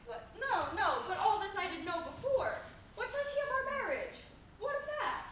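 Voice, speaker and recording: Very echoey, noisy room. Woman as lovestruck young girl in stage Shakespeare, very high and impatient, pleading theatrically. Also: big pitch swings, moderate pace, fairly bright tone, recorded from a distance, full character voice. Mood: frustrated, coaxing. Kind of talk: amateur Shakespeare play rehearsal.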